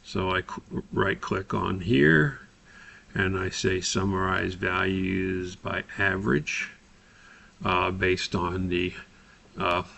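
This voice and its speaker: A man instructor talking through a software tutorial, explaining and thinking aloud as he goes. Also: low voice, fairly even intonation, slow, gravelly. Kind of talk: spoken screen-recorded software tutorial.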